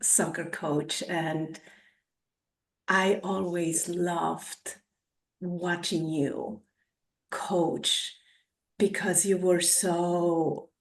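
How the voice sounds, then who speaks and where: A woman's voice: on the low side, little pitch movement, extremely slow, pauses often. A woman hosting a podcast, recorded in an echoing space at some distance from the mic. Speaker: podcast host. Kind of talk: scripted introduction, then casual conversation. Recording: roomy echo, distant from mic.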